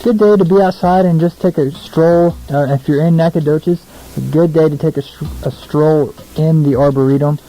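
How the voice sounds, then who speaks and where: A man's voice: fairly high pitch, fairly even intonation, normal speed, dark tone, poor audio. A young American man hosting a casual radio show, chatty, informal and self-deprecating between songs. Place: small radio studio, alone.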